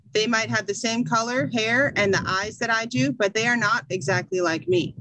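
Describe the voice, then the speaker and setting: A middle-aged American woman teaching an English class over a video call, speaking slowly and encouragingly from a small room at home. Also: fairly high pitch, a bit bright, dry recording, poor audio. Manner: slow, encouraging, reads a passage aloud. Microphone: video call webcam.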